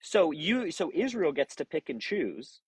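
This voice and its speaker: A man's voice: a little high, sing-song, unhurried, a crowd in the background. A man hosting a live-stream debate, pressing his opponent with repeated questions while apologizing for cutting in. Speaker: show host.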